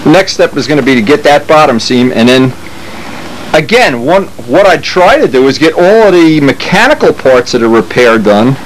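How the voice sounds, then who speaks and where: A man's voice: on the high side, moderate pace. A man giving a casual hands-on how-to, talking unscripted as he works, as a hobbyist showing a repair. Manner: unscripted, thinking aloud while working. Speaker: hobbyist demonstrating model plane repair. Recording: noisy workshop with steady machine hum.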